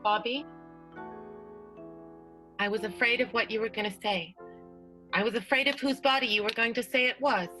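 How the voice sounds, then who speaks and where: A woman's voice: a little high, moderate pace, pauses often, pushed and bright, soft background music. A woman voicing a lovesick young woman in an improvised radio play, melodramatic and breathless. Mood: gushing.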